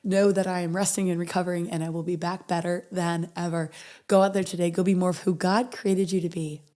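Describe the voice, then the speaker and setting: A woman hosting a motivational podcast, her voice raspy and hoarse as she recovers from being sick. She talks earnestly and reads affirmations softly and slowly. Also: fairly low pitch, level tone. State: hoarse from recovering after illness. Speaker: podcast host.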